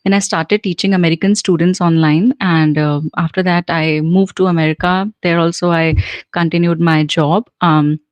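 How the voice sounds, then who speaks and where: A woman's voice: on the low side, fairly even intonation, normal speed, dry recording. Chatty Indian woman answering viewers live. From central India. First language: Punjabi. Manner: chatty, answers viewers' comments with frequent fillers and laughs. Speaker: host and soft skills trainer. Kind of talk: live stream Q&A.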